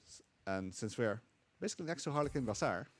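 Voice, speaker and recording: A man calmly explaining tricks while playing a game live, with frequent fillers. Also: medium pitch, fast talker. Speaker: speedrunner. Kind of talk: live speedrun commentary.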